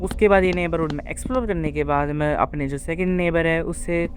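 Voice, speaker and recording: A man's voice: a little high, a little fast, slight background noise. A man casually telling the story of his gameplay, colloquially, with English words mixed in. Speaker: gaming video narrator.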